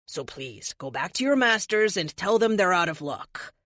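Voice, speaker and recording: A calm, unyielding ancient warrior hero, as a woman reads him aloud. He speaks firmly with a light, amused edge, and his pitch rises and falls in lively swings. The recording is close and dry. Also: normal speed, no echo.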